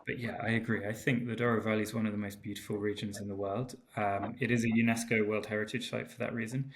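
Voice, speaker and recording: A man from Portugal talks casually on a remote call. He is relaxed and hesitant, with frequent ums and false starts. A faint hiss sits under his voice. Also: fairly low pitch, fast. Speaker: guest winemaker. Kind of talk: casual interview chat. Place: home in Porto, remote call.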